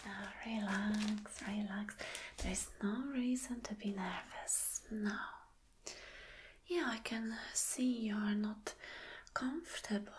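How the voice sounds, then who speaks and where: A woman whispers slowly and close to the microphone, playing a flirty boss in an ASMR roleplay. Her manner is gentle and reassuring, at a medium pitch. There is faint noise and small clicking behind her. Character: flirty, attentive female boss soothing an employee. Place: small dim room indoors.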